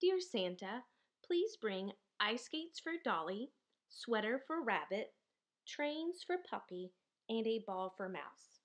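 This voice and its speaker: Lady reading as a sweet kindly storybook teddy bear, slow n careful, kinda high. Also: very animated. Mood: earnest, careful.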